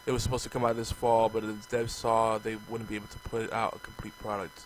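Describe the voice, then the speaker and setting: Brief: male podcast co-host, casual and chatty, hoarse from a coming cold, faint hiss under the audio. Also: fairly low pitch, little pitch movement, fast talker, poor audio. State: hoarse, losing his voice. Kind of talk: casual chat.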